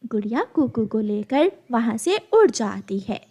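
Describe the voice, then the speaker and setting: A woman's voice: fairly high pitch, big pitch swings, a little slow, mellow. A woman narrating a scripted children's cartoon story as the storyteller.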